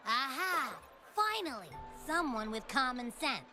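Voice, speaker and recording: A woman's voice: sits high, big pitch swings, a little slow, bright, forceful tone, faint music behind, exaggerated voice. A woman voicing a small, childlike, cheeky and dramatic hungry creature in a video game. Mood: impatient, pleased. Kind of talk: video game character acting.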